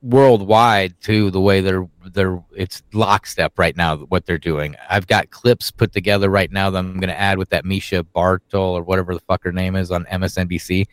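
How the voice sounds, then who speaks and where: Low-voiced profane podcast man, dry sound. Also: moderate pace, few pauses, no echo. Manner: profane, rambling, animated. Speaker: podcast co-host. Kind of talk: casual conspiracy talk chat.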